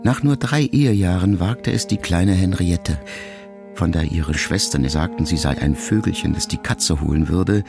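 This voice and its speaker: Male audiobook narrator, very low and gravelly, measured and reflective, music playing behind. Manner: measured, reflective reading with long pauses. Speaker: audiobook reader. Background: soft music.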